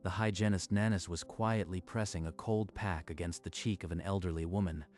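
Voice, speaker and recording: Deep-voiced male story narrator. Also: a little fast, faint music behind. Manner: steady, even storytelling.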